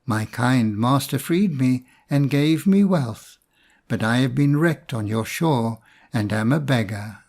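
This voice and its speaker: A man's voice: medium pitch, animated, slow, a little mellow. A man voicing a humble, bewildered merchant made king in a storybook, with earnest, formal, archaic speech.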